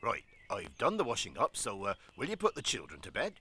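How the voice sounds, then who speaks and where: A man voicing a big, sleepy, patient hippo father in a children's television show, with a husky, gravelly voice. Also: fairly low pitch, wildly swooping pitch, a little fast, pushed and bright, exaggerated voice. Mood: weary, searching. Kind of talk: children's television character acting.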